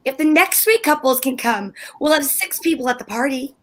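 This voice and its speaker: A woman's voice: high voice, lively intonation, moderate pace. A woman playing a sarcastic, scheming housewife in an amateur old-time radio comedy. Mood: exasperated. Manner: tart, sarcastic, flustered. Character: scheming indignant housewife Liz.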